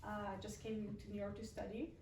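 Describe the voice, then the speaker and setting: A young woman from Switzerland, not a native English speaker, giving a halting personal account as a student speaker at an event. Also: mid-range voice, flat delivery, a little mellow. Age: young adult. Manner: halting, pausing mid-phrase. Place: indoor event room at a college. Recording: roomy, distant mic, faint noise.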